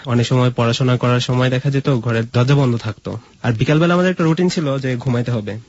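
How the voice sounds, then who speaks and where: A man's voice: mid-range voice, fast, a little mellow, highs cut off, a little hiss or hum. A man from Mymensingh in Bangladesh, an interview guest telling personal stories in a conversational way. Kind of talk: personal storytelling in casual conversation.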